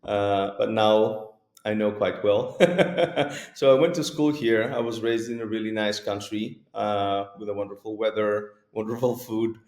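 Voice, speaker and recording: A man in his forties from Greece, a guest in a casual video-call interview, talking in a relaxed way, chuckling now and then, with many fillers. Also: on the low side, a little slow, reverberant.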